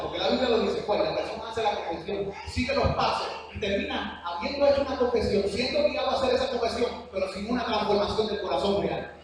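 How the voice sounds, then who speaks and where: A Cuban man preaches in a high voice, dwelling on each weighty phrase with earnest emphasis. He is heard from a distance across a big church hall, his words ringing in a long echo over faint room ambience. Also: background noise. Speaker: preacher teaching a church class. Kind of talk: sermon. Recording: echoey hall from far off.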